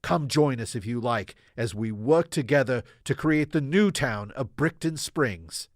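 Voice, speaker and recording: A man playing a solemn, hopeful townsman reading out a heartfelt letter in a radio drama, measured and eloquent. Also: medium pitch, lively intonation, no echo, clean studio sound, lightly acted. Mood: resolute, hopeful.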